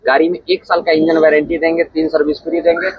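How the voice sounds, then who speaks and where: A man, pitching used cars at a brisk, insistent clip. His voice sits fairly high. The recording is slightly dull, with faint noise from the open-air car lot. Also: flat delivery, husky. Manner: fast, insistent sales patter with filler phrases. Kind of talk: sales pitch walkthrough.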